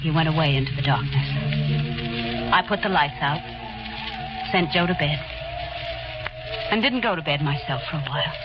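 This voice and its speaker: A woman's voice: sits low, moderate pace, long pauses, over music, lightly acted. An actress playing a young woman who owns a carnival carousel, narrating and speaking in 1940s radio drama style. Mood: wistful. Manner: weary first-person narration. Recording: muffled 1940s radio broadcast.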